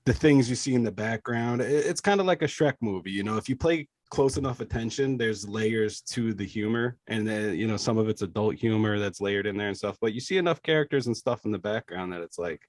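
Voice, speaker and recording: A man with a fairly low voice talks casually as a podcast co-host. His speech is loose and peppered with swearing. The sound is dry and close. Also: fairly quick, hardly any pauses, no echo. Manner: casual, profane. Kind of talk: casual chat about movies.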